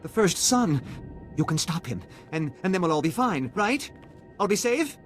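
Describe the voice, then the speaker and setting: An actor playing an anxious, frightened official in a video game, pleading in a nervous manner. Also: male voice, high-pitched, big pitch swings, normal speed, faint music behind. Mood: fearful. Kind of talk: video game cutscene acting.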